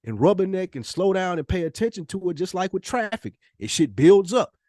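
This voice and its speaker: Casting: man, fairly high-pitched, emphatic and sweary podcast debater, very dry sound. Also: very animated, fairly quick, mellow, no echo. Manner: emphatic, argumentative, slangy, swearing. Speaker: podcast co-host. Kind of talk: casual debate, opinionated podcast talk.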